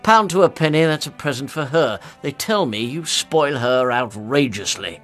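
A man's voice: lively intonation, moderate pace, fairly bright tone, soft background music, close to an everyday voice. A man voicing a pompous, fleshy upper-class English bachelor in an audiobook, effusive and nosy, with a confiding bellow that can drop to a murmur. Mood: teasing. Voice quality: booming bellow dropping to a murmur. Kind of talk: audiobook character acting.

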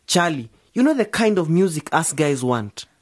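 A defensive young male singer from a radio drama. His delivery is highly animated in pitch, in a dry studio recording. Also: normal speed, dry recording. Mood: weary protest.

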